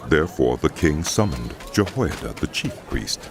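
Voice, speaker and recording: A man narrating a dramatized audio Bible in a solemn, measured storytelling style. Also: extremely low pitch, very animated. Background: background music.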